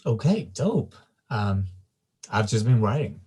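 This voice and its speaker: A man's voice: lowish voice, sing-song, slow pace, mellow, breathy edge, heavily acted. A man playing a cool, aloof, trendy young man with a hesitant, polite manner in a screenplay table read. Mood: casual, curious.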